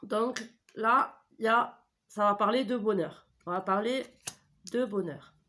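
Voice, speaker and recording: A woman's voice: medium pitch, a little slow, bright, forceful tone, a little hiss or hum. A middle-aged woman with a stuffy head cold, giving a casual tarot reading at home into a desk mic, rambling and full of hesitations and self-corrections. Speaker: tarot reader. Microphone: desk microphone.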